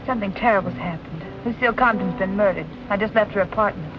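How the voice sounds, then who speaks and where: A woman's voice: mid-range voice, fast, natural voice. An actress playing an earnest, worried young woman sleuth in a vintage film noir. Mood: troubled. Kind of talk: 1940s film noir acting. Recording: muffled old film soundtrack, music underneath.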